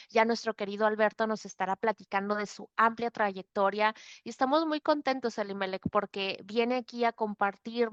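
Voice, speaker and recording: A woman's voice: medium pitch, a bit bright, clear, resonant tone, no room sound, highs cut off. A middle-aged woman with a northern Mexican accent, co-hosting a podcast and introducing a guest in an upbeat way. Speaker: podcast co-host. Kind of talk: casual podcast conversation.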